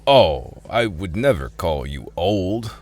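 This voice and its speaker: Very deep, slow-talking streetwise thief. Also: male voice, very animated, a little mellow, exaggerated voice. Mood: flirtatious, wry. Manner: casual, wry, informal. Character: streetwise reformed thief, smooth-talking, weary, wry. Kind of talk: radio drama acting and first-person narration.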